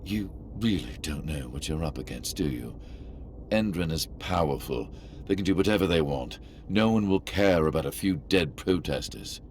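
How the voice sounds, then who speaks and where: An actor playing a sly, cornered arms dealer in a video game, smug and menacing, with a drawling, theatrical delivery. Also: male voice, a bass voice, lively intonation, moderate pace, a little hiss or hum. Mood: ominous, smug. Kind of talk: video game cutscene acting. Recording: music behind the voice.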